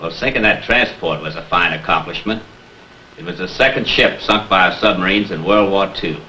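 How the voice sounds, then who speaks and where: A man's voice: low-pitched, normal speed, bright, forceful tone, rough recording. A man hosting a 1950s television program, formally presenting and interviewing a guest. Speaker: television host. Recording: 1950s TV soundtrack with hiss.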